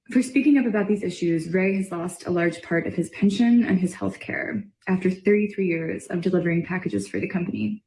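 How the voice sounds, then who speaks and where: A woman's voice: mid-range voice, warm tone. Woman narrating a news clip in a roomy echo. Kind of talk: scripted narration.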